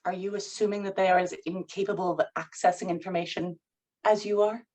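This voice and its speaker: A woman's voice: mid-range voice, fairly even intonation, brisk, a little mellow, close to an everyday voice. A woman at a screenplay table read playing a sardonic, disapproving lover with dry, arch, pointed delivery. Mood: arch, probing.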